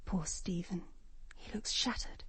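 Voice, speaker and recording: A watchful woman in a radio drama, murmuring hushed asides. Her voice is very breathy and close to a whisper, in a dry, close recording. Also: on the low side, a little slow, no room sound, full character voice. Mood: hushed, pitying.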